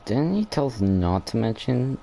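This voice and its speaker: A man's voice: mid-range voice, big pitch swings, moderate pace, vocal fry, mellow. A man giving casual, offhand commentary while playing a video game. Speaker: gamer. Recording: faint background noise.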